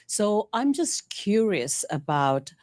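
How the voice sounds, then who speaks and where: A woman's voice: mid-range voice, big pitch swings, slow, darkish tone, dry recording. A woman of Indian origin with an Indian English accent, asking a speaker a hesitant question full of fillers. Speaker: audience member asking a question.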